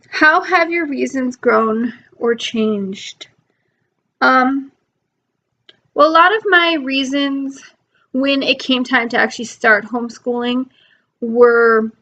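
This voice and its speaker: Young woman, homeschool vlogger, higher voice, recovering from a cold, chatty and filler-heavy; laptop mic, muffled lo-fi audio. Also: slow pace, a bit bright. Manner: chatty, lots of ums, rambling. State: recovering from illness, losing her voice. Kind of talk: casual talk to camera.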